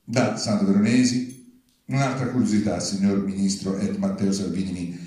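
A man with a low, mellow voice reads satirical letters aloud at a live event. His delivery is formal and deadpan. The sound is very reverberant, as in a big room. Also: moderate pace. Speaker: reader at a public reading. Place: large indoor venue, live event. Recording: distant, very echoey hall.

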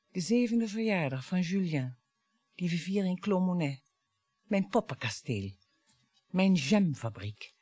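A bitter wife and grieving mother in a radio drama. Her delivery is dramatic and taunting, with halting phrases and big swings in pitch, recorded dry and close. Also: darkish tone, dry recording. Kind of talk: Dutch radio play acting.

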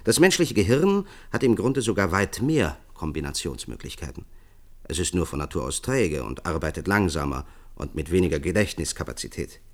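A man's voice: low voice, big pitch swings, normal speed, full character voice. An actor playing an earnest male expert in a German science-fiction radio drama, formally lecturing and persuading. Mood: explaining. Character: earnest male science expert pitching a visionary project to leaders.

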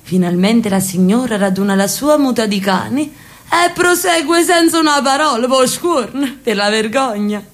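An actress playing an elderly sister from Naples in a radio drama, telling a street story with lively, affectionate chatter. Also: female voice, on the high side, normal speed, natural voice. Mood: storytelling. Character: elderly Neapolitan sister, talkative, loves her city.

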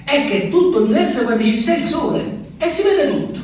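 A man's voice: extremely high pitch, animated, a little fast. An actor playing an ageing, lonely peasant man, rambling and repeating himself in a theatrical monologue. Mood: agitated wonder. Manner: rambling, repetitive, swings from hushed to exclaiming. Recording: echoey, distant stage recording, faint noise.

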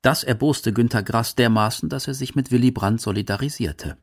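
A man's voice: medium pitch, a little fast. A man narrating a scripted radio documentary. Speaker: documentary narrator and quote reader.

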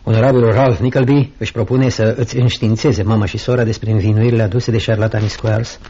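An actor playing a wry, loyal clerk who speaks deliberately in a Romanian radio play. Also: male voice, lowish voice, little pitch movement, dark tone, slight background noise, lightly acted. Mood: wry, conspiratorial. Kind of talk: Romanian radio theatre acting. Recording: dull lo-fi radio drama recording.

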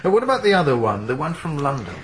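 A methodical police inspector. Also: male voice, fairly high pitch, big pitch swings, fast talker. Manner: polite, probing questioner. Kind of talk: radio drama acting. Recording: archive radio drama with light hiss.

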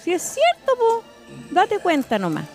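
A woman's voice: very high-pitched, wildly swooping pitch, a little slow, darkish tone, faint music behind, heavily acted. A Chilean woman acting an insistent, earnest working-class wife and mother in a colloquial radio drama. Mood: urging, earnest. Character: determined working-class wife and mother, newly politically awakened.

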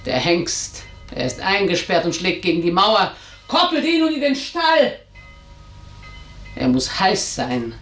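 A woman's voice: lowish voice, big pitch swings, unhurried, long pauses, a bit bright, soft background music. An actress playing a stern, tyrannical mother in a radio drama, with a commanding, imperious manner. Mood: stern, controlled. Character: stern, tyrannical mother ruling her household. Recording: roomy, echoing studio sound.

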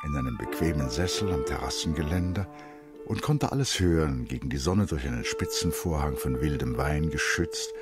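Radio play narrator: bass register, wide intonation, measured, dryly ironic storytelling. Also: male voice, animated, loud music behind the voice. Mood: dry, observant. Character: male literary narrator, wryly observant.

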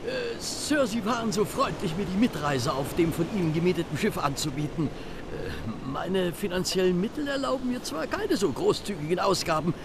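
An actor playing an awkward, deferential detective in an audio drama, stammering politely and fussily. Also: male voice, high-pitched, animated, normal speed. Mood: hesitant, insistent. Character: awkward, insistent male detective, deferential and fussy. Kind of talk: German audio drama acting. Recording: clear outdoor noise behind.

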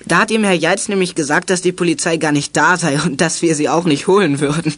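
A man's voice: fairly high pitch, a little fast, fairly bright tone. Wry boy detective, lightish voice. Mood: chatty. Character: wry, chatty boy leader of young detectives. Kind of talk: 1980s German youth radio play acting.

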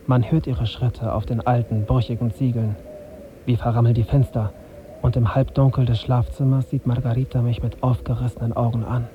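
An actor playing a defiant, brooding young journalist in a radio drama, with a tense, intimate and bitter manner. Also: male voice, medium pitch, lo-fi sound, background noise, close to an everyday voice. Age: young adult. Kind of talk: radio drama acting with first-person narration.

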